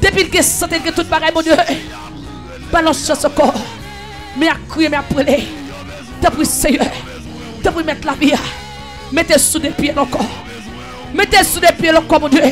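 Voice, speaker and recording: A man leading an impassioned prayer, pleading and repeating phrases, half singing and at times shouting. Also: very high voice, fairly even intonation, a little slow, pauses often, over music. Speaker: prayer leader. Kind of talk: fervent chanted prayer.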